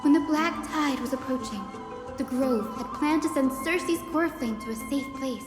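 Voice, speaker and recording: A woman voicing an earnest, sharp-minded young investigator in a video game, reasoning aloud in a deliberate, dramatic way. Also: sits high, lightly acted. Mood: urgent deduction. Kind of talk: scripted video game voice acting. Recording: echoey hall reverb under loud music.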